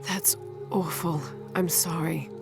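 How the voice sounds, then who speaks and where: A woman playing an earnest young adventurer in a video game, speaking gently and politely as she questions and listens. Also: on the low side, fairly even intonation, takes it slowly, vocal fry. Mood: sympathetic, grateful. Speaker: game protagonist. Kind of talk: video game cutscene dialogue. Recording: long reverb, music behind.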